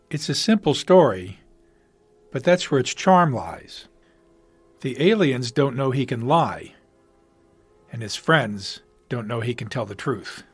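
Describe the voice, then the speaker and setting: A man's voice: mid-range voice, slightly rough. A man giving prepared spoken commentary on television episodes, as a listener sending in his opinions.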